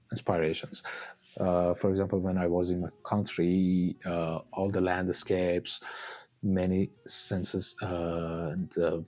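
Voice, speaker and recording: Slow, deep-voiced Iranian man, hesitant. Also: a little mellow. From Iran. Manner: hesitant, pausing with many uh fillers. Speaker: artist guest. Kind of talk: casual interview chat.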